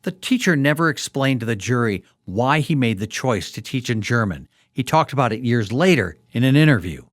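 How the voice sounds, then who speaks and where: A man hosting a history podcast, narrating in a clear storytelling manner. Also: medium pitch, lively intonation, moderate pace, no room sound, clean studio sound. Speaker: podcast host. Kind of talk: scripted documentary narration.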